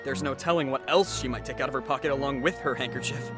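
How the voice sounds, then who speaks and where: A performer in an anime fan dub playing a sardonic teenage high-school boy, dramatic and disbelieving. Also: quick pace, music in the background, natural voice. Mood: dry inner narration.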